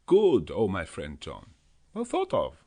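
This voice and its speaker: A man's voice: huge pitch swings, takes it slowly, darkish tone, full character voice. A man voicing an elderly, earnest, fatherly professor, speaking in an emphatic, rising voice. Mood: fond. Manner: emphatic, rising to high pitch.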